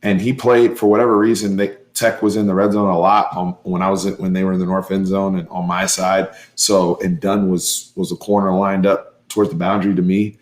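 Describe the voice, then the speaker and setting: A hoarse man hosting a live fan stream, recapping a football game in an excitable, emphatic way and repeating his points. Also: deep voice, fairly quick, hardly any pauses. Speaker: host of a fan sports channel.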